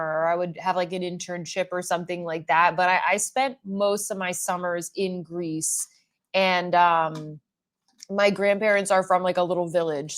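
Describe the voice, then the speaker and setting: Chatty woman hosting a makeup livestream. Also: fairly low pitch. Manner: chatty and rambling, with frequent "like" fillers. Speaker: beauty livestream host. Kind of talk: casual livestream chat reading viewer comments. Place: at home doing makeup in front of a mirror.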